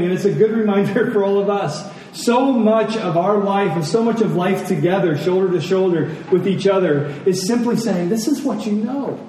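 High-voiced man preaching in an echoing hall. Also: warm tone. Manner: deliberate repetition of key phrases for emphasis. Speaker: pastor preaching. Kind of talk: sermon. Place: large reverberant room, church service. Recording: very echoey hall, distant mic, faint noise.

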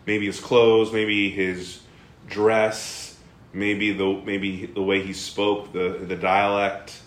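A man leading a Bible study, explaining a passage with lively questions to the class and frequent fillers and restarts. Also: lowish voice, slow, echoey room. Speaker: bible teacher. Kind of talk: interactive bible study teaching.

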